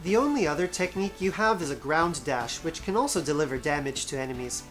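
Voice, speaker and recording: A woman's voice: deep voice, lively intonation, fast talker, soft background music. A woman narrating a scripted video game review as its host, with wry, sarcastic asides and emphatic stresses.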